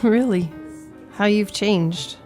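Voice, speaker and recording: An actress playing a prim, sharp-tongued young single woman in a radio romantic comedy, stammering and indignant. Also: mid-range voice, slow, soft background music, natural voice. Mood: cutting, scornful. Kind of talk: old-time radio romantic comedy acting.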